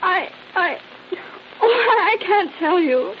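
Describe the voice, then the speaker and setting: An actress playing an excited, frightened young wife in an old radio drama, stammering and breathless. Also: female voice, extremely high pitch, slow pace, full character voice. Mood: frantic, afraid. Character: excited, frightened young wife fearing her husband was murdered. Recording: dull, hissy old radio recording.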